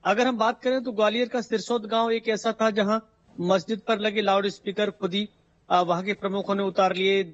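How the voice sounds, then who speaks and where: A male field reporter with an Indian accent and a high-pitched voice. He reports live without a script, pausing often on fillers. The link audio is dull and lo-fi. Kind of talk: unscripted live news report.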